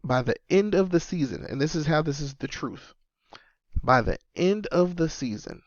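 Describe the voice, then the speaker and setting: A man's voice: fairly high pitch, lively intonation, normal speed, no room sound, muffled recording. A man in his thirties hosting a casual solo sports podcast, talking emphatically and repeating his points for stress. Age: 30s. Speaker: podcast host and sports fan.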